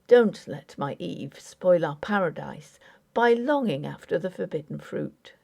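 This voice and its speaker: A woman's voice: on the low side, expressive, unhurried, vocal fry, darkish tone, full character voice. A woman voicing an earnest but impatient Victorian husband in an audiobook, formal, placating and patronizing. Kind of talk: dramatic audiobook character acting.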